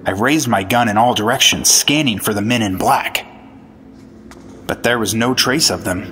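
A man's voice: on the low side, natural voice. An actor playing an earnest, dogged 1950s male FBI agent, narrating his case dramatically in an old-time radio detective drama.

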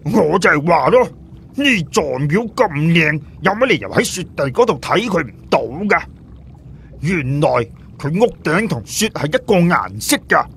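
男人扮演把聲偏高、粗豪口快嘅大隻佬. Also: male voice, on the high side, very animated, unhurried, a bit bright, slight background noise, voice pushed up, heavily acted. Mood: boastful. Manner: blunt, slangy, exclamatory. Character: brash, boastful tough guy called Fat Wai. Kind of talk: audiobook character voice.

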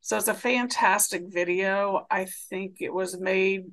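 Woman psychiatrist, gravelly fry in her voice, medium pitch, explanatory, webcam presentation. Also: steady tone, measured pace, fairly bright tone. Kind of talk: conference presentation with slides. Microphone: video call webcam.

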